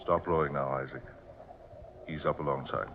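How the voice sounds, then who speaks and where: An actor playing a gruff, commanding and easily irritated ship's officer in an old-time radio drama. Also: male voice, a bass voice, steady tone, moderate pace. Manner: brusque, authoritative, terse orders. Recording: muffled, tinny old radio recording with hiss.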